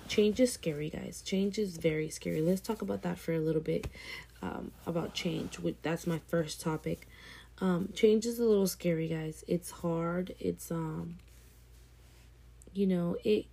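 Young woman, twenties, low flat voice with some fry; casual encouraging vlog talk, repeats herself for emphasis. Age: 20s. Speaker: vlogger. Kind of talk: casual motivational talk to camera.

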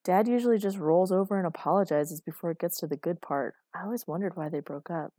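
A woman's voice: on the low side, fast, mellow, dry recording, clean studio sound, close to an everyday voice. A woman voicing an unfazed sixteen-year-old girl, chatty and matter-of-fact, in audiobook dialogue. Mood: gossipy, intrigued.

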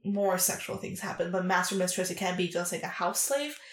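A woman's voice: fairly low pitch, expressive, fairly quick. A woman as an interview guest, chatting candidly and frankly, hesitating often and leaning on fillers. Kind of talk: candid casual chat. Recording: roomy echo.

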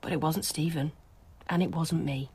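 A woman's voice: deep voice, steady tone, moderate pace, darkish tone, husky, full character voice. An actress playing a defiant, obsessively lovesick young working-class woman in a British radio drama, blunt, colloquial and insistent.

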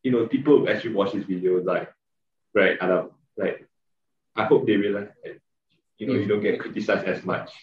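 A man's voice: fairly low pitch, a little fast, reverberant, distant mic, dull audio. A man co-hosting a casual podcast, rambling with many fillers. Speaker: podcast co-host.

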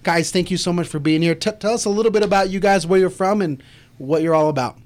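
A man's voice: high voice, quick pace. A man hosting a podcast interview, upbeat and encouraging with his guests. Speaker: podcast host.

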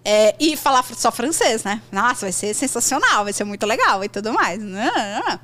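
A Brazilian woman in her thirties hosting a podcast, talking in an animated, chatty and slangy way. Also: on the high side, a little fast. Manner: animated, chatty, slangy, jumps between thoughts. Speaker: podcast host, English teacher. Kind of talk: casual podcast chat.

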